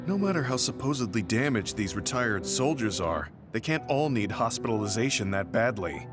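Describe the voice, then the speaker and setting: A man playing a stoic, skeptical veteran soldier in a video game, with a grave, measured delivery. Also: mid-range voice, very animated, lightly acted. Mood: disbelieving. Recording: music behind dialogue. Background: game soundtrack music.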